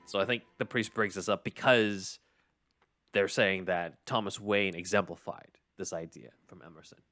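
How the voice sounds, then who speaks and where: A man hosting a podcast, casually recapping and commenting on a script in a measured, explanatory way. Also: low voice, fairly even intonation, fairly bright tone, no echo, clean studio sound, faint music behind. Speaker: podcast host.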